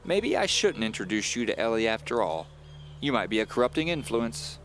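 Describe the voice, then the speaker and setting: A man's voice: medium pitch, sing-song, fairly quick, a bit bright, slight background noise, voice pushed up. A man voicing a kindly, grandmotherly widow with a folksy, teasing, chatty manner in an audio drama. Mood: playful.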